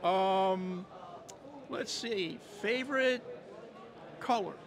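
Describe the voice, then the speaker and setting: A man's voice: sits high, very slow, a crowd in the background. An older man interviewing players in a folksy, teasing way on a handheld mic. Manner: folksy, joking, teasing questions. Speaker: sports interviewer. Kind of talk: friendly sideline interview. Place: indoor media backdrop at a football event.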